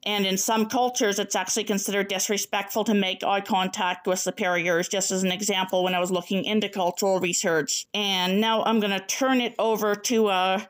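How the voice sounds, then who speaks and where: A young woman presents her material. Her voice sits at a middle pitch, and she moves between prepared narration and conversational reflection. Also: fairly even intonation, a little fast, hardly any pauses. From Canada. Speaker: presenter, PhD candidate.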